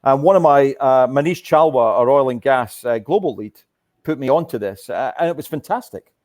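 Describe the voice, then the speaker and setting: Medium-pitched man as a business guest. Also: expressive, moderate pace, a little mellow. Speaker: corporate sponsor guest, oil and gas executive. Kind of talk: casual interview talk.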